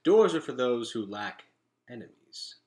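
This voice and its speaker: A man voicing a calm, commanding leader in a storybook, with stately, formal and archaic phrasing. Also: mid-range voice, a little slow. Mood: grave. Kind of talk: acted storybook dialogue.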